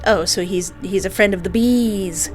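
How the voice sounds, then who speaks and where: A woman's voice: medium pitch, a little slow, a little mellow, faint music behind. A woman playing a visual novel, reacting playfully and mockingly with theatrical, sarcastic delivery. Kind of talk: playful reactions and dramatic reading aloud.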